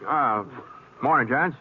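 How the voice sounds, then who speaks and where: An actor playing a modest, easygoing wandering gunfighter in a radio western, with a hesitant, understated American drawl. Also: male voice, fairly high pitch, exaggerated voice. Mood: polite. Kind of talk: 1950s radio acting. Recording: tinny noisy old radio recording.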